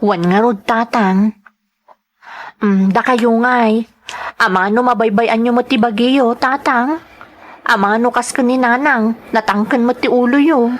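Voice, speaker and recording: A woman's voice: a little high, steady tone, unhurried, pauses often. A woman playing a caring, worried adult daughter in an earnest, pleading drama style. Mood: concerned, chiding. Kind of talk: Ilocano radio-style drama acting.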